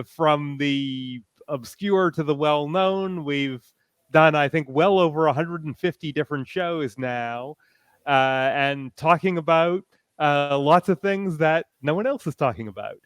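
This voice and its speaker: A man with a smooth, clean voice pitched a little high chats as a panelist. The sound is dry and close, and his delivery is conversational. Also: lively intonation, measured pace, no room sound. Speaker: panelist and podcaster. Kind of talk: casual panel discussion.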